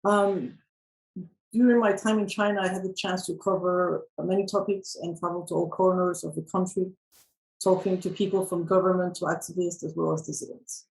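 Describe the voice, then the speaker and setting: A woman from Peru, a journalist speaking on a panel in a measured way with some fillers. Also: lowish voice, fairly even intonation, creaky, darkish tone, rough recording. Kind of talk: panel presentation.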